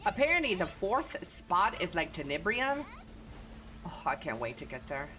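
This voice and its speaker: Crude Texan woman streamer, lively voice. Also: lowish voice, normal speed, a little hiss or hum. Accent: Texan Southern. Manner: crude, profane, laid-back. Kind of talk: casual gaming stream chat.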